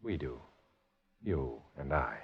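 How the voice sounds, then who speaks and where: A cool american undercover man in an old radio drama, really low husky voice, clipped and insinuating. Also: very animated, takes it slowly, exaggerated voice. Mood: cool, businesslike. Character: cool, guarded male undercover agent posing as Communist blackmailer. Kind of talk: 1950s American radio drama acting with first-person narration. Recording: dull, lo-fi old radio recording, music behind.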